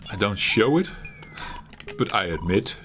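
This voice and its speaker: Low, lively man musing. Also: slight background noise. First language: Dutch. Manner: halting, searches for words, laughs at himself. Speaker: retro gaming channel host. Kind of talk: reflective gameplay commentary.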